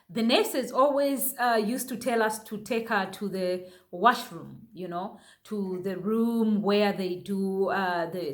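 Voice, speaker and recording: A young woman from Zambia speaks to her viewers in a slightly high voice and at an unhurried pace. She talks casually, full of fillers, restarts and asides to her audience, like someone sharing a personal story as a new mother. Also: fairly bright tone. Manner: chatty, many fillers and restarts, addresses viewers as guys.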